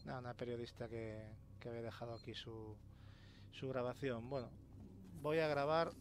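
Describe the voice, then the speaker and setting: A man's voice: medium pitch, steady tone, moderate pace. A man with a Spain accent giving casual, sweary gameplay commentary as host. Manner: sweary, colloquial. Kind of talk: casual let's play commentary. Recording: noisy game ambience behind voice.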